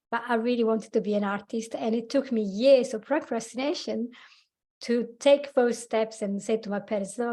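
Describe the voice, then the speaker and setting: A woman's voice: fairly high pitch, a little mellow. A woman in her forties with an Italian accent, a coach talking animatedly as a podcast guest, laughing often and speaking slightly broken English. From Italy. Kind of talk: casual interview chat.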